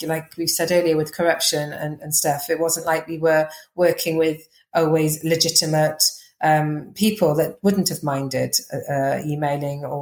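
A woman with a Welsh accent, chatting quickly as a podcast guest, full of fillers and restarts. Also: low-pitched, reverberant. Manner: fast-talking, chatty, lots of fillers. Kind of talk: casual interview chat.

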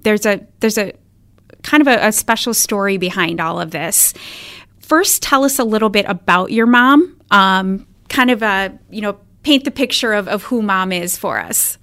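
A woman's voice: medium pitch, a bit bright. A woman speaking as a podcast host, introducing and interviewing a guest in a conversational way.

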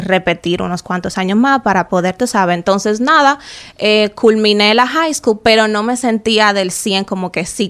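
Earnest young Dominican woman, smooth voice. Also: medium pitch, a bit bright. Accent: Dominican Spanish. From Dominican Republic. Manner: earnest, reflective, frequent fillers. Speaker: guest. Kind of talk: radio interview. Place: radio studio.

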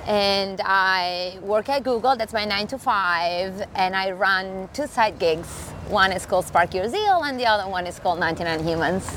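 An earnest woman with an Italian accent talks with plain enthusiasm, her pitch sitting in a middle register. She is outdoors in a city park, with faint traffic behind her. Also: normal speed, hardly any pauses, a bit bright. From Italy. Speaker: podcast guest. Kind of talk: casual conversation while walking.

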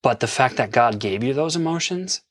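A man's voice: mid-range voice, animated, moderate pace. A man talks through relationship advice with his partner in an earnest, open way. His voice sits in a middle register. The sound is dry and close, with little room echo. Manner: earnest, self-deprecating, emphatic when quoting. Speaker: podcast co-host. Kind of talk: casual conversational advice. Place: lives in an RV park.

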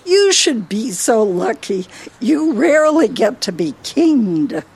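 A woman's voice: a little high, big pitch swings, takes it slowly, a little mellow, husky, full character voice. A woman playing a feisty elderly wife in a radio play, teasing and needling her husband theatrically. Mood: playful, defiant.

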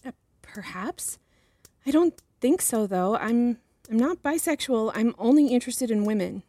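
An actress playing a young, earnest and deferential patient in a fiction podcast, speaking in a hesitant, careful, apologetic manner. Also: female voice, a little high, animated, normal speed, dark tone, no echo. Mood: apologetic, uneasy. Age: young adult. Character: young female patient, earnest, deferential, guarded, eager to please.

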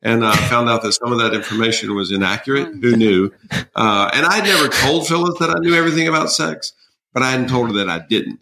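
A man's voice: medium pitch, a little fast, vocal fry, sharp tone, rough recording. A man in his sixties telling personal stories as a podcast guest, emphatic and wryly humorous. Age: 60s. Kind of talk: conversational personal storytelling.

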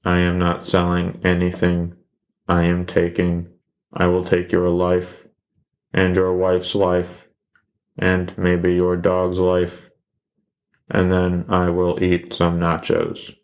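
A cold killer who speaks in a dead-level monotone, played by a man. His voice stays low and slow and never rises, delivering every threat with flat robotic calm. It is deadpan to the core. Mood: flat menace.

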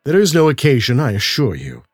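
A man voicing a calm, assured detective doctor who speaks reassuringly. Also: mid-range voice, a little fast, breathy edge, no room sound, studio quality.

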